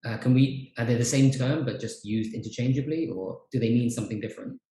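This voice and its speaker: A man hosting a casual podcast chat on a video call, relaxed and chatty with frequent fillers. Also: medium pitch, brisk. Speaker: podcast host, teacher trainer. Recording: roomy echo.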